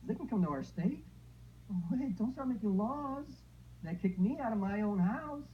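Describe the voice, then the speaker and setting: A woman's voice: a little high, animated, moderate pace, muffled recording, a little hiss or hum. A woman from Texas giving blunt, emphatic opinions in an interview, with sarcastic asides.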